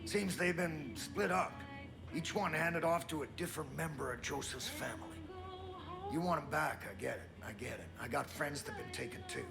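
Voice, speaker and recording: A gruff older American survivalist for a video game cutscene. His voice crackles with gravel, and he talks in a blunt, cynical, folksy way. Music plays clearly underneath. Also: a little high, big pitch swings, normal speed, full character voice. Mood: urgent, determined. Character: gruff, weary older male survivalist, cynical but defiant. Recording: dramatic music behind the voice.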